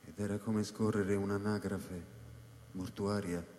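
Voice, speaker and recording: An actor playing a reflective male scientist who narrates a science-fiction radio drama in measured, deliberate phrases. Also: on the low side, flat delivery, a little mellow, lightly acted. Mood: reflective, subdued. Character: reflective male scientist recounting life on space station. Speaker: actor narrating as the story's protagonist. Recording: echoey hall reverb under live electronic music.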